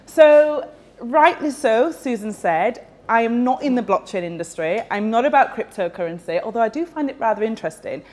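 Young woman, West Yorkshire accent, fairly high voice, chatty talk with fillers, handheld mic. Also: lively intonation, normal speed, darkish tone. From West Yorkshire, England. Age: young adult. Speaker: speaker at a tech meetup. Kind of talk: casual presentation talk. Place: large open event space with audience. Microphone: handheld microphone.

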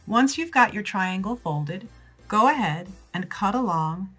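A woman in her sixties giving plain, measured step-by-step instructions for a paper craft. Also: mid-range voice, expressive, a bit bright, soft background music. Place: wood-cabinet room indoors, at a craft table.

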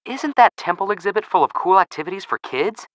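A man's voice: sits high, expressive, fairly quick, a bit bright, dry recording, dull audio. An actor playing a cheeky, wisecracking teenage boy sleuth in scripted video game dialogue. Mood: joking, excitable. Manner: cheeky, teasing.

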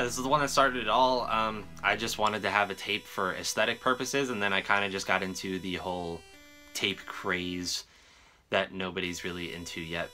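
A man's voice: fairly low pitch, sharp tone. A young man talking casually to camera in a show-and-tell style, informal and given to swearing. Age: young adult. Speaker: vlogger showing a music collection. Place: small room at home, indoors.

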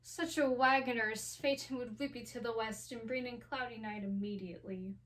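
Young woman playing lovestruck bride, high-pitched, urgent, declaiming, classical stage monologue, home room. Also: steady tone, normal speed, fairly bright tone, lightly acted, rough recording. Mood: eager, impatient. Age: young adult. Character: impatient, lovestruck young bride longing for night. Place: small home room indoors. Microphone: camera across the room.

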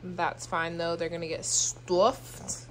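Young woman in her twenties, home cooking vlogger; lowish voice, self-mocking and rambling, phone-recorded in a quiet kitchen. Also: little pitch movement, slow, background noise. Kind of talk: casual cooking vlog. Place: small home kitchen and apartment room.

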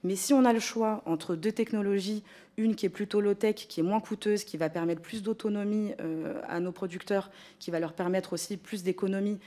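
A woman in her thirties testifying before a parliamentary committee, making her points in a measured way into a desk microphone. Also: mid-range voice, mellow. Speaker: witness at a hearing. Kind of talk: spoken testimony. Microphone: desk gooseneck microphone.

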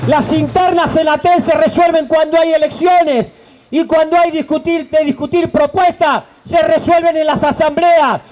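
A man's voice: very high voice, fairly even intonation, moderate pace, faint music behind, rough recording. A man in his forties with an Argentine accent, a union leader giving an impassioned protest speech outdoors into a handheld mic. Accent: Argentine Spanish. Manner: impassioned, shouting at times, gesturing. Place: outdoor stage under a tent at a rally.

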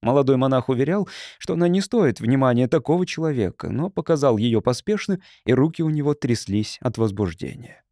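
A man's voice: medium pitch, expressive, brisk, mellow, dry recording, studio quality. A man reading literary prose as a scripted audiobook narrator.

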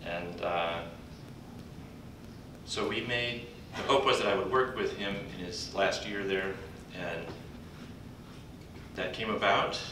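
Older man at a podium, echoey and distant. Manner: folksy, warm-humored, halting with pauses. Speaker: conference speaker introducing a colleague. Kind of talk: speaking at a podium. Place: large conference hall, indoors. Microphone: podium mic, recorded from afar by a computer. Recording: distant echoey hall recording with background rumble.

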